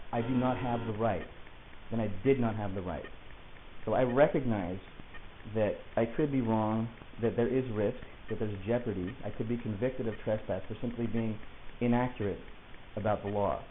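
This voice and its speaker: A man's voice: medium pitch, normal speed, lightly acted. A man playing a stubborn, articulate defendant in an audio play, arguing his case insistently and pedantically. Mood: earnest. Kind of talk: argumentative dialogue in an audio play. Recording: tinny phone-like sound with hiss.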